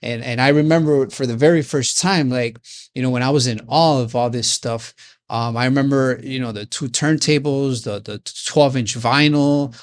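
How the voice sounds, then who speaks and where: A man's voice: medium pitch, steady tone, normal speed. A man from Chicago hosting an interview, talking in a loose, rambling way that is full of repeated words and restarts. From Chicago, United States. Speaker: podcast host. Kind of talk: rambling casual interview chat.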